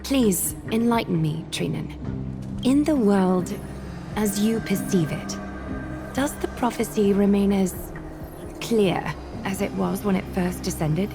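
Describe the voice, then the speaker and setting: An actress playing a commanding female demigod ruler in a video game, speaking in a formal, measured, solemn way. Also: mid-range voice, vocal fry. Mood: solemn, thoughtful. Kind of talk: scripted video game acting. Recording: music behind the voice.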